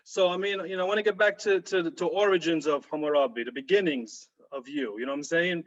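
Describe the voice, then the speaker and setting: A man's voice: on the high side, quick pace, a bit bright. A man hosting an interview over a video call, hyped and slangy, calling his guest "my brother". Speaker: interview host. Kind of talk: casual interview. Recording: dull lo-fi video call audio.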